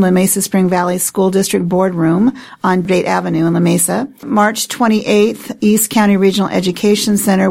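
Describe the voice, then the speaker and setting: A woman guest on a talk show reading out hearing dates and places matter-of-factly, with filler pauses. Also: medium pitch, vocal fry, a little mellow. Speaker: panel guest. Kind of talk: reading out event details on radio.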